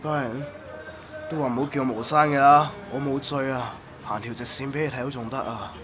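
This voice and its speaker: Casting: male actor as world-weary company boss, polite and mild, mid-pitched, Hong Kong Cantonese accent, radio drama. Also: steady tone, normal speed, music in the background, natural voice. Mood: drunk, exasperated. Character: weary, mild-tempered older businessman under strain.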